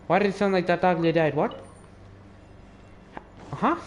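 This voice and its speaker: A man's voice: high voice, measured pace. A teenage boy giving casual, muttering, sweary commentary while playing a video game. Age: teens. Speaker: gamer commenting on gameplay. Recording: noisy home gaming recording.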